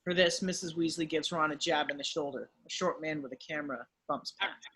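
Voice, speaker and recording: A man narrates stage directions for a table read. His voice sits a little high, husky yet bright and forward, in a scripted reading style. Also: a little fast.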